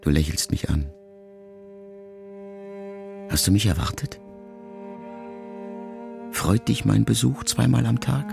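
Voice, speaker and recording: A middle-aged actor playing a reflective grown son, a writer, who addresses his dying mother in a measured, confessional literary monologue for a radio play. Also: low voice, vocal fry, heavily acted. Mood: tender, doubting. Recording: music behind the voice. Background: music.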